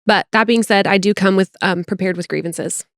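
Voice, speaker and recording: A chatty middle-aged woman with a plain General American accent, co-hosting a podcast from behind a close microphone. Her talk is expressive and self-deprecating, pitched in a mid range. The sound is dry, with almost no room echo. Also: a little fast. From United States. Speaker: podcast co-host. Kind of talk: casual chat.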